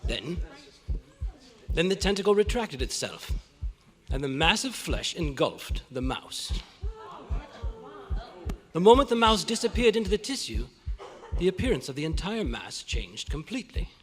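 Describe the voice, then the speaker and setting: A man playing a frightened, earnest doctor in old-time radio horror, speaking in a halting, melodramatic way. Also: fairly high pitch, big pitch swings, in a large hall. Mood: shaken, awed. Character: shaken male doctor, earnest frightened eyewitness. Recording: noisy live meeting room recording.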